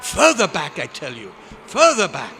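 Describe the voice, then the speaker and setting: A man's voice: a little high, wildly swooping pitch, normal speed, sharp tone, heavily acted. A man playing a desperate scientist in an old-time radio drama, pleading urgently in formal, exclamatory phrases, recorded live. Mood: dread. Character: frantic, desperate male scientist warning of impending doom. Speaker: club member performing a radio play recreation. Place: hotel convention meeting room, live performance. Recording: noisy live convention room recording.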